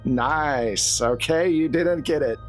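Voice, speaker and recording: A man's voice: on the high side, takes it slowly. A man acting as game master, narrating a tabletop horror game. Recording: music behind the voice. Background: music.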